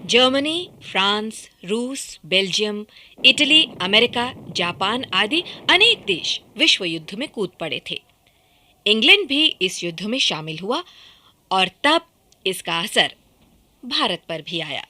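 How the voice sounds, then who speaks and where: A woman's voice: mid-range voice, sing-song, slow, pushed and bright, slight background noise. A woman narrating a scripted historical radio drama in a dramatic, projected manner.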